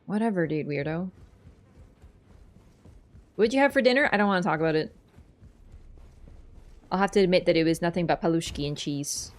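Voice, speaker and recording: A woman's voice: on the low side, moderate pace, pauses often. Scatterbrained woman with a Toronto accent. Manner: scatterbrained and rambling, self-deprecating, loses her train of thought. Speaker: video game streamer. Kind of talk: casual livestream chat, reading and thanking viewers.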